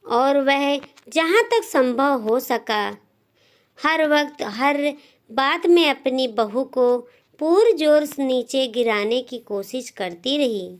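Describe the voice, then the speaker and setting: A woman narrating a scripted moral story as a storyteller, in deliberate phrases with frequent pauses. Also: high voice, lively intonation.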